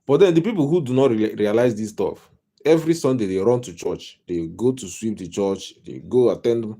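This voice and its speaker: Mellow-voiced Nigerian man rambling on. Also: fairly low pitch, expressive, normal speed. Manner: rambling and repetitive, with wry humor. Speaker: life coach streaming live. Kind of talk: casual live-stream monologue. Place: home.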